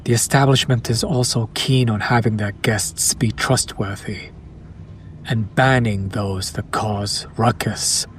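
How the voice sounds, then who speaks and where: A man's voice: fairly low pitch, fairly even intonation, takes it slowly, a little hiss or hum. A man playing a charming, old-world vampire in a romantic audio roleplay, speaking to his beloved in formal, attentive, archaic phrasing. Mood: charming, reassuring. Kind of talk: romantic audio roleplay, intimate scripted acting.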